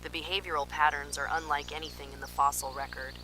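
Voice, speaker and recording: Woman acting an urgent scientist, sharp bright voice, noisy transmission. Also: deep voice, level tone, fast. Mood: uneasy, clinical. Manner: urgent, dramatic, clinical reporting. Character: alarmed female park scientist transmitting desperate emergency warnings. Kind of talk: analog horror emergency narration, field report monologue.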